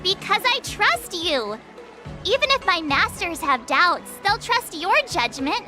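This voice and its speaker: An eager teenage girl learning the sword in an anime game. Her voice is extremely high and bounces with lively intonation. She sounds earnest and exclamatory over clear background music. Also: unhurried, heavily acted. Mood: confident. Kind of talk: anime-style video game voice acting.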